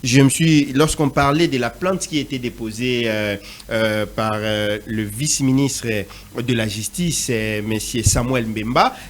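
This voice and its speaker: A man's voice: mid-range voice, a little slow, slight background noise. A man from DR Congo speaking as a panelist in a televised debate, pushing rhetorical questions with growing intensity.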